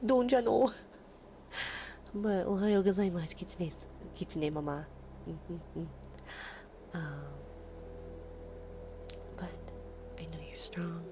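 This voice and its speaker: A woman with a nasal, lowish voice chats with her stream audience close to the mic, now and then dropping to a soft breathy whisper. A steady hiss sits under the recording. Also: takes it slowly, pauses often. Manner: soft, drifting into breathy whispers between chatty asides. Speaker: livestream host. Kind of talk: casual late-night stream chat with soft whispering. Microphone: streaming microphone close up.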